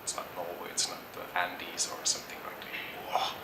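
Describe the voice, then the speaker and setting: A man's voice: deep voice, monotone, normal speed. A middle-aged man from Germany answers interview questions thoughtfully, hesitating and restarting often. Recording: echoey, distant mic, noisy background.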